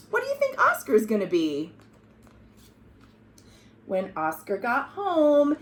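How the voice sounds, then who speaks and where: A woman hosting a children's storytime, reading aloud expressively and pausing to explain words and ask the listeners questions. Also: medium pitch. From United States.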